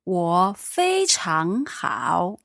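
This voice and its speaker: A woman's voice: on the low side, wildly swooping pitch, drawn-out words, gravelly, a bit bright, dry recording, clean studio sound. A woman from southwest China with a Chinese accent, a native Chinese speaker teaching language with simple, careful explanations. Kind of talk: conversational language lesson.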